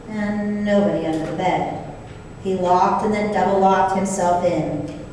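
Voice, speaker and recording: A woman's voice: fairly low pitch, darkish tone. A woman narrator reading scripted story narration for an old-time radio play. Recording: distant echoey hall, noticeable hiss.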